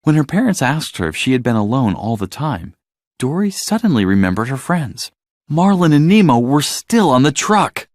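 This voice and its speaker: A man reading a scripted children's story as narrator, in an expressive, dramatic storytelling manner. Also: medium pitch, normal speed, dry recording, clean studio sound.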